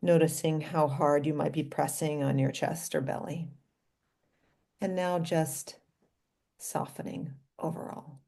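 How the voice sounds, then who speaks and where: A woman guides a meditation in a low, slightly gravelly voice with a soft, mellow tone. She speaks gently and invitingly, leaving space between short phrases. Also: fairly even intonation, unhurried. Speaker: doctor leading a guided meditation.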